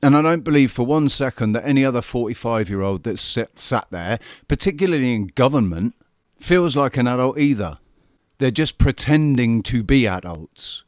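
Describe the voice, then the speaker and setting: A man's voice: medium pitch, expressive, normal speed, no echo, studio quality. Angry profane man in his forties. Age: 40s. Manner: angry, profane, repetitive emphasis. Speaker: show host commenting on a played clip. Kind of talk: heated political commentary.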